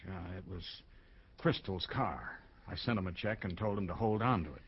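An actor playing a troubled, blunt American businessman and wronged husband in a radio drama, speaking haltingly. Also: deep voice, husky, dull audio. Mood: uneasy, halting. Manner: halting, hesitant, with bursts of bluntness.

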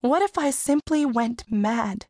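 Earnest, higher-voiced teenage girl. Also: takes it slowly, a little mellow, no echo, clean studio sound. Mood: worried. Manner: plain-spoken, worried. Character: earnest, anxious fourteen-year-old country girl. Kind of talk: audiobook character acting.

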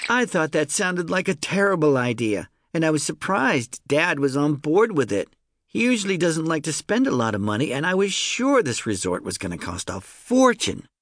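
A man narrating a children's story in the first person, in an expressive, conversational storytelling manner. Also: a little high, dry recording, studio quality. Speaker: audiobook narrator.

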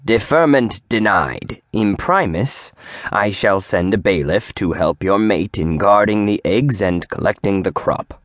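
A man's voice: on the low side, expressive, measured pace, no echo, clean studio sound. A man voicing a stern, pompous male demon provost with a grating, officious voice.

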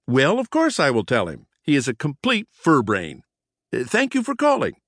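A man voicing a brash, mischievous mouse cousin in a children's storybook, squeaky and giggly, with cocky, teasing delivery. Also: wildly swooping pitch, moderate pace, fairly bright tone, no room sound, studio quality. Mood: smug, mocking. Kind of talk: acted children's storybook dialogue.

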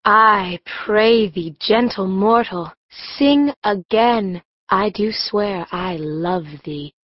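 Slow, enraptured fairy queen. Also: medium pitch, no room sound, dull audio. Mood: enraptured, tender. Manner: languid, enraptured, stately. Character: lovestruck fairy queen, regal and doting. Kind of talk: theatrical audiobook Shakespeare acting.

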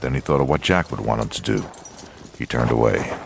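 Very deep, gravelly male western narrator. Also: brisk, noisy background. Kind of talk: scripted western audiobook narration.

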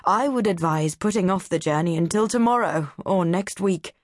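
A woman's voice: fairly low pitch, normal speed, no room sound, clean studio sound, natural voice. A woman voicing a lofty, pompous male Cambridge scholar who lectures and disapproves. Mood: decreeing. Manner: condescending, pompous.

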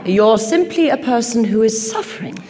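A woman's voice: a little high, lively intonation, normal speed, a crowd in the background, noisy background, natural voice. An actress playing a reserved, earnest middle-aged English woman doctor in an audio drama, polite, careful and clipped. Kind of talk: audio drama stage acting. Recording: reverberant theatre-like hall.

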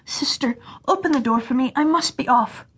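Bewitched fawn boy for a storybook, read by a woman: raised pitch, restless, eager, impulsive. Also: moderate pace, a bit bright, slightly rough. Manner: earnest, impulsive. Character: eager, restless young male deer, bewitched brother. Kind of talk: children's fairy tale storytelling.

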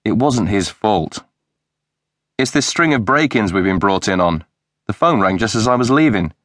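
A patient, mild-mannered male detective, as voiced by the narrator, calm and even with a faintly smug edge. His voice sits low, and the recording is close and dry. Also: moderate pace, no room sound. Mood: apologetic.

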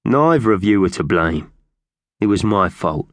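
A man's voice: deep voice, sing-song, unhurried, dry recording. A man voicing a sinister magpie gang boss in a storybook, brooding and menacing. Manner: quiet, brooding, hissing menace.

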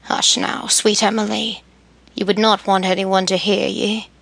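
A woman voicing Charles, a stern, teasing young nobleman, in a slightly lowered, firm voice while reading a story. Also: little pitch movement, measured pace, vocal fry, husky, dry recording.

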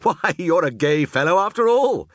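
A man's voice: a little high, animated, moderate pace, no room sound, studio quality, voice pushed up, lightly acted. A man voicing a kindly, bemused toymaker in a storybook, musing aloud to his toy. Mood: amused surprise. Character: kindly, bemused old-fashioned male toymaker, master of his toys.

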